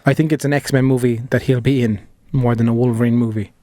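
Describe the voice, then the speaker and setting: A man co-hosting a podcast, chatting quickly and casually. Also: medium pitch, steady tone, dark tone, dry recording, studio quality. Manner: quick, chatty, quotes others with a laugh. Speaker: podcast co-host. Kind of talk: casual chat.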